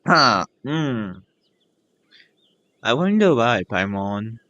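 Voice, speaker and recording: A man's voice: mid-range voice, sing-song, very slow, pushed and bright, no echo, highs cut off. A man with an Indian accent and native Hindi, reading game text aloud and reacting casually as he streams. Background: game music.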